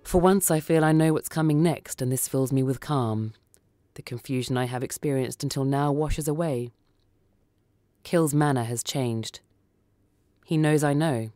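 A woman reading a short story for radio in a theatrical, deliberate, dramatic narrating style. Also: rumbling low, dark tone, no echo.

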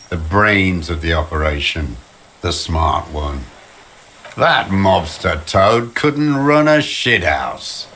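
An actor playing a bitter, weary old communist deserter in a video game, ranting with disdain. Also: male voice, deep voice, sharp tone, a little hiss or hum, full character voice. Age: older. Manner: embittered, ranting, slow deliberate delivery. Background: music.

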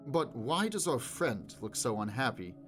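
A man's voice: mid-range voice, big pitch swings, measured pace, a bit bright, faint music behind. A man voicing a dry, wry male visitor who talks in an understated way.

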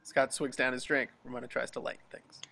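A man's voice: mid-range voice, level tone, a little fast, creaky, bright, forceful tone. A man reading stage directions as scripted narration for a dramatic table read over a video call.

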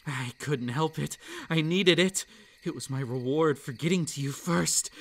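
Man as a dark yandere fox-spirit boy, slow delivery, hushed intimate menace, possessive, ASMR roleplay, crickets in the night. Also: mid-range voice, a little hiss or hum. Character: dark, obsessive yandere kitsune monster boy, gloating and possessive. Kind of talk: roleplay ASMR dramatic monologue. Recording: night insect ambience behind the voice. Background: crickets and night insects.

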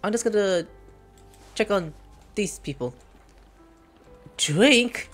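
A woman's voice: medium pitch, lively intonation, takes it slowly, soft background music. A woman casually commenting while playing a video game, playful and jokey, talking to herself. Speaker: streamer.

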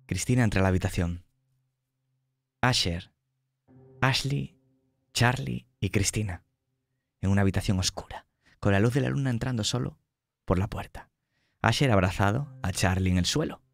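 A man narrating a tabletop horror game as game master, slow and dramatic as he describes scenes. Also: fairly low pitch, creaky, no room sound.

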